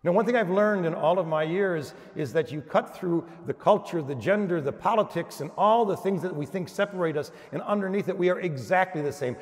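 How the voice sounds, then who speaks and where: Older American priest preaching in echoey church. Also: male voice, high voice. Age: 60s or older. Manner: emphatic, dramatic pauses, rhetorical questions. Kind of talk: homily preached to a congregation. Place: large church, at the lectern. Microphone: gooseneck lectern microphone. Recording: echoey church with long reverb.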